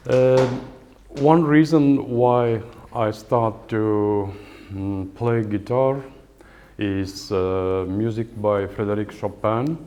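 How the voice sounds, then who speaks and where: An older man with an Estonian accent gives a lecture on guitar in English, which is not his first language. He speaks slowly, with many pauses and filler sounds. His voice is low and mellow. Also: steady tone. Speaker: guitar professor giving a lecture. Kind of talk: lecture with halting non-native English. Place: lecture hall at a music academy.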